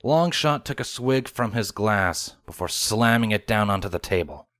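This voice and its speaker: A man's voice: lowish voice, animated, normal speed, a bit bright, no room sound, clean studio sound. A man reading a scripted story aloud as its narrator. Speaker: fan fiction reader.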